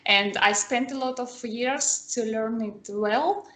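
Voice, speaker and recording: A woman's voice: fairly high pitch, takes it slowly, pushed and bright, reverberant. A woman whose first language is Spanish, a student in an online lesson, talking hesitantly with many fillers. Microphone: video call.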